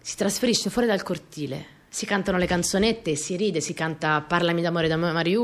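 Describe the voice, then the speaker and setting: A woman's voice: lowish voice, close to an everyday voice. An actress playing an earnest, dreamy young Italian partisan courier who recalls her wartime girlhood in an intimate, simply told radio monologue. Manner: simple, conversational, reminiscent storytelling with short phrases. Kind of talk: radio theatre monologue, intimate first-person storytelling.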